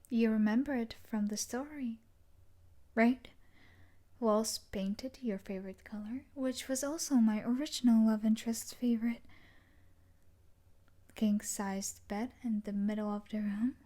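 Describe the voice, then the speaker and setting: A woman playing an obsessive yandere stalker from a dark romance book in an intimate audio roleplay, breathy and coaxing with quiet menace, often dropping into a whisper. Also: fairly high pitch, measured pace, long pauses, lightly acted. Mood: smug, possessive.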